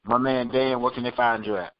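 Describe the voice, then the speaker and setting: A man's voice: mid-range voice, steady tone, a little fast, dull audio. A man hosting a podcast, talking casually with his co-hosts and listeners. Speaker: podcast host. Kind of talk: casual podcast chat and sign-off.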